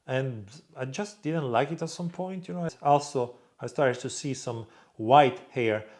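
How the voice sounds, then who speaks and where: A young man from Croatia speaks in a relaxed vlog Q&A. He answers fans off the cuff, bluntly, and swears freely. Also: fairly high pitch. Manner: blunt, swears freely, reads viewer questions aloud in a louder voice. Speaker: vlog host answering viewer questions. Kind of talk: casual Q&A monologue.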